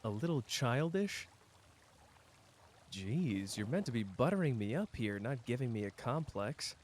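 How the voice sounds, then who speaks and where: A man playing a powerful, cocky but wounded sorcerer in an intimate audio roleplay, talking casually and teasingly straight to the listener with pauses. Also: medium pitch, very animated, a little hiss or hum. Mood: vulnerable, teasing.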